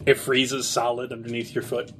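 A man's voice: mid-range voice, monotone, moderate pace, a bit bright, a little hiss or hum. A man narrating and running a tabletop game as game master, casual and swearing now and then. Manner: casual, swears, describes scenes.

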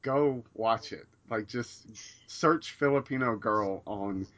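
Bright-voiced enthusiastic male host. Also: medium pitch, slow. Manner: enthusiastic, stammering restarts. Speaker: podcast host. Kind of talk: casual podcast interview. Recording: dull lo-fi audio.

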